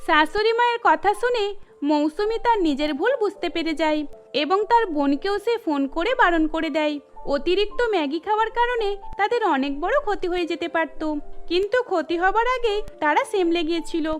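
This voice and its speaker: A woman narrates a scripted story for a cartoon. Her voice is extremely high. Light music plays beneath the narration. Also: lively intonation, normal speed. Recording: music bed under the voice.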